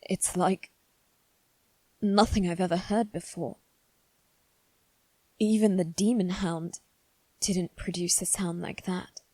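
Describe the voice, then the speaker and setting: A woman voicing a haughty, anxious young noble boy in a halting, formal monologue for dramatic ASMR roleplay. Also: lowish voice, fairly even intonation, mellow, no echo. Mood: hushed, fearful. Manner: halting, formal, self-reassuring delivery.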